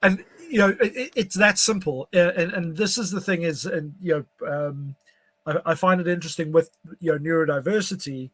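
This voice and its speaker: A man from South Africa, whose first language is Afrikaans, chatting on a podcast in a fairly high voice. He trips over words and leans on fillers and restarts as he talks. The sound is close and dry. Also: fairly bright tone, no echo. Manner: halting, many fillers and restarts. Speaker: podcast guest. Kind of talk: casual conversation.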